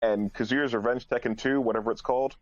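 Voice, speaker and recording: A man's voice: medium pitch, fairly even intonation, fast, lo-fi sound. A man talking casually as a podcast panelist, blunt and opinionated, prone to swearing.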